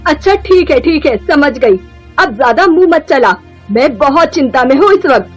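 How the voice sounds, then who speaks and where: Casting: high-pitched, short-tempered middle-aged mother-in-law, acted by a woman, taunting and theatrical, for a melodramatic cartoon moral story. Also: animated, moderate pace, soft background music. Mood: irritated scolding.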